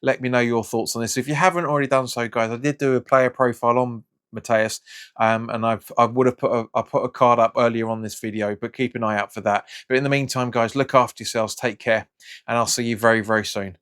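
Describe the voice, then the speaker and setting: Man, fan channel host, casual reaction vlog, slightly raspy, hoarse, frustrated, rambling. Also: medium pitch, fairly even intonation, fast talker, a bit bright. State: hoarse from hay fever.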